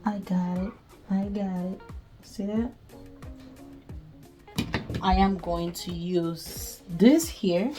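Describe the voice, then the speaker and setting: Nigerian-accented woman, slightly low voice, casual step-by-step sewing tutorial, thinks aloud. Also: darkish tone. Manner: chatty, thinking aloud while working.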